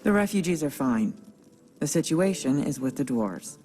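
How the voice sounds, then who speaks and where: An actress playing a proud, disapproving goddess in a video game, speaking in a clipped, icy way. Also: female voice, deep voice, big pitch swings, normal speed, mellow. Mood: cold disdain. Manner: clipped, icily sarcastic. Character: cold, proud goddess, a disapproving household matriarch. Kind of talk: video game cutscene acting. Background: background music.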